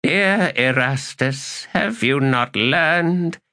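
A man voicing a weary, melancholy old male elder god, speaking in a resigned, slow and measured way. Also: medium pitch, animated, sharp tone, voice pushed up, heavily acted. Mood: sorrowful. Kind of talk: audiobook character reading.

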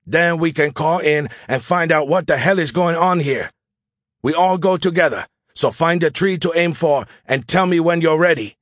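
A man playing a tense military officer in an audiobook, terse, decisive and steady while giving orders. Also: on the high side, moderate pace, no room sound, clean studio sound, pitched above the performer's own voice, natural voice. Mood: firm, urgent. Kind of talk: audiobook character acting.

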